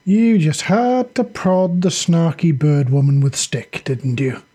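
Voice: mid-range voice, takes it slowly, warm tone, husky. A narrator voicing a dry, grumbling woman soldier in an audiobook. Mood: reproachful.

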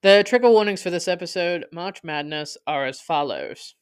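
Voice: mid-range voice, animated, normal speed, smooth, dry recording, clean studio sound, soft background music. A podcast host delivering dramatic scripted storytelling in a theatrical, sardonic, verbose manner with scornful emphasis.

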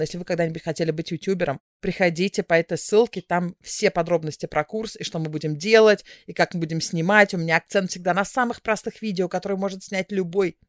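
Woman, podcast co-host, lively and chatty, laughs easily, very dry close sound. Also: fairly low pitch, no echo. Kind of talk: casual chat.

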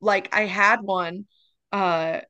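A woman's voice: medium pitch, fairly even intonation, slow, sharp tone, smooth, muffled recording. A woman co-hosting a podcast in casual chat, rambling and giggly, sometimes breaking into song. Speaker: podcast co-host.

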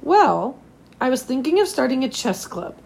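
Storybook role: encouraging, persuasive male teacher read by a woman, slightly hoarse, gentle delivery. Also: high-pitched, sing-song, moderate pace, pitched above the performer's own voice, exaggerated voice. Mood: coaxing.

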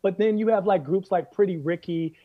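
An American man telling comic stories in casual conversation, animated and emphatic, repeating phrases for effect. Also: high voice, a little fast, muffled recording. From United States. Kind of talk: comedic storytelling in casual chat.

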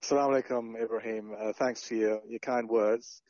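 A man's voice: fairly low pitch, a little fast, no echo, lo-fi sound. Dry-voiced man in his forties, joking. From Sri Lanka and North Wales. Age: 40s. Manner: relaxed, self-deprecating, joking asides. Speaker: podcast guest, journalist and founder. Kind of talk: casual interview conversation.

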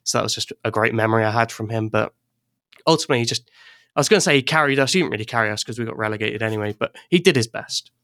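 A man's voice: fairly low pitch, rapid-fire, fairly bright tone, no room sound, clean studio sound. An English-born man chatting casually as a podcast guest, rambling a little, restarting sentences and laughing often. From England. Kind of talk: casual chat.

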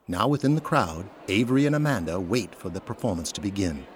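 A man's voice: on the low side, animated, fairly quick, a little hiss or hum, natural voice. A man narrating an audio drama in a descriptive, dramatic scripted style.

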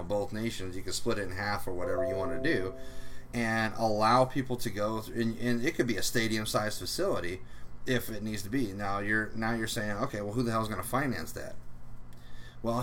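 A man's voice: lowish voice, a bit bright, a little hiss or hum. A man ranting casually about immigration politics, rambling and swearing, with many fillers.

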